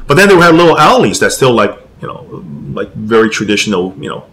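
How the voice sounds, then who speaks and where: A man from Taiwan who grew up in America, talking casually and haltingly as a comic creator being interviewed, circling back over his phrases. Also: lowish voice, big pitch swings, fairly bright tone, poor audio. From Taiwan, moved to America at nine. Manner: rambling, halting, repeats phrases. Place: small room with posters, indoors. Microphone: desk condenser microphone with pop filter.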